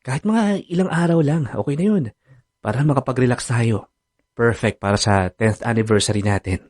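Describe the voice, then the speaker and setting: A man's voice: medium pitch, moderate pace, darkish tone, lightly acted. A man with a Filipino accent playing a hurt, pleading boyfriend in an online radio drama, coaxing and insistent. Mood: gentle, eager. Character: hurt, pleading boyfriend planning an anniversary getaway. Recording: music bed under the voice.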